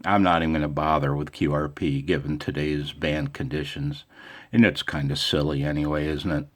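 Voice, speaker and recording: A man's voice: a bass voice, little pitch movement, normal speed. An American man talking off the cuff in a casual vlog as an amateur radio operator, with a wry, self-deprecating manner.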